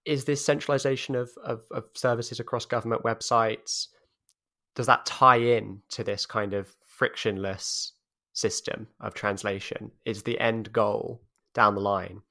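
A man interviewing a guest, asking a long, measured question. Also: fairly low pitch, flat delivery, creaky, sharp tone.

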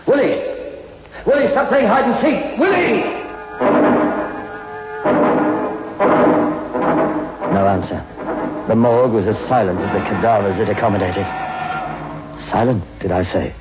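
A man's voice: fairly low pitch, very animated, moderate pace. A muffled vintage radio horror recording, heavy with music and echo. a young male crime reporter, tough but badly frightened, delivering his part with melodrama and on the edge of cracking. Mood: anxious, frantic. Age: young adult. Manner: melodramatic, swinging from hushed narration to frantic shouting. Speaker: lead actor narrating and playing the reporter. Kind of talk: 1960s radio horror drama acting.

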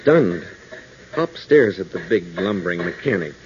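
A man's voice: low voice, lively intonation, unhurried, slight background noise. A man announcing and narrating a 1940s radio serial, with emphatic, dramatic delivery aimed at young listeners. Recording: muffled old radio broadcast.

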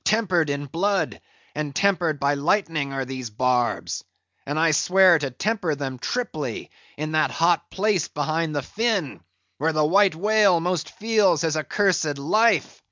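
A man's voice: on the high side, unhurried, hardly any pauses, bright, forceful tone, no room sound, dull audio, pitched above the performer's own voice. A man voicing a fierce, defiant old whaling captain in an audiobook, declaiming archaic speech with commanding force. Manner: archaic, declamatory, barking orders.

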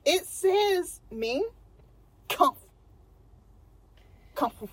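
A woman's voice: extremely high pitch, expressive, very slow, dry recording. A young woman with a Texan accent, casually telling a true crime story as a podcast co-host, laughing often. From Texas. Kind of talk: casual chat.

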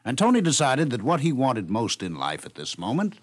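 Very animated 1950s radio narrator, man. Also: mid-range voice, moderate pace. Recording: noisy vintage 1950s radio recording.

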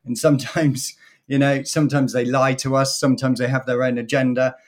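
Reflective man, halting then fluent. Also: medium pitch, level tone. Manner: reflective, hesitant starts, extended metaphors. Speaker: guest author. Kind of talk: casual podcast conversation.

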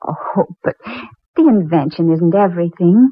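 A woman's voice: lowish voice, expressive, normal speed, husky, no room sound. Young woman in love, tender, earnest, emotional, 1940s radio melodrama, thin phone-like old recording.

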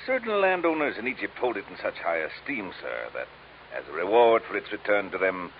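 A man's voice: on the low side, sing-song, a little fast. An actor playing a pompous, falsely courteous male villain with florid, formal phrasing in an old radio drama. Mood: grandiose, persuasive. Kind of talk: 1950s radio drama acting. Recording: hissy, dull old radio recording.

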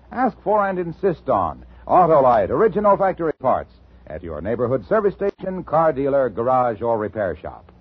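A man's voice: mid-range voice, husky, no echo. An American man announcing and reading advertising copy on 1950s radio in an emphatic, formal delivery. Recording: thin, tinny vintage radio recording.

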